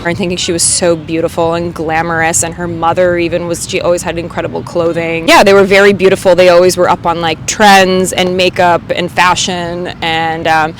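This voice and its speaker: A woman's voice: lowish voice, little pitch movement, a little fast, hardly any pauses, a little hiss or hum. Reflective woman talking on a street. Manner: thoughtful, reflective storytelling. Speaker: street interviewee. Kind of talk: casual street interview answers.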